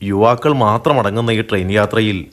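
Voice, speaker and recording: A young actor playing a respectful, persuasive college student organizer in a radio play. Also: male voice, on the low side, moderate pace, fairly bright tone, close to an everyday voice. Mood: earnest. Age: young adult. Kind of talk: radio drama acting.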